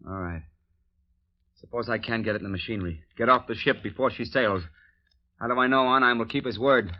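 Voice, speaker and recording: A man's voice: medium pitch, fairly quick, close to an everyday voice. A nervous, desperate saboteur voiced by a man pleads and stammers through this old radio play. His voice sits in a mid range, and the recording is dull and muffled. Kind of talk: 1940s radio acting.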